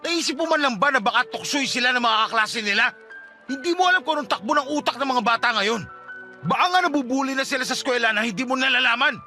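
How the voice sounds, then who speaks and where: A man's voice: extremely high pitch, fast, sharp tone, faint music behind, heavily acted. A man playing a hurt, jealous estranged husband and father in a radio drama, earnest and insistent. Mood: accusing. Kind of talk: Tagalog radio drama acting.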